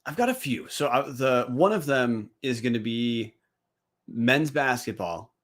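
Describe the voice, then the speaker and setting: A man's voice: medium pitch, lively intonation, unhurried, fairly bright tone. A man co-hosting a casual sports podcast, teasing his co-hosts and rambling as he talks. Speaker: podcast co-host.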